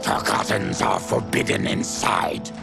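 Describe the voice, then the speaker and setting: A man voicing a grim, honorable monstrous warrior in a video game, with a growling, gravelly voice and a solemn, terse, commanding manner. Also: sits low, sing-song, unhurried, over music, exaggerated voice. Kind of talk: video game cutscene acting.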